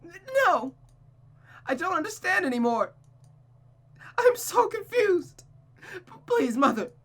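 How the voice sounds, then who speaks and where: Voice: very high-pitched, very animated, slow, fairly bright tone, voice pushed up, exaggerated voice. A performer voicing a frightened young daughter who stammers and pleads in a panicked way in a visual novel. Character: frightened, pleading young daughter under her mother's sway.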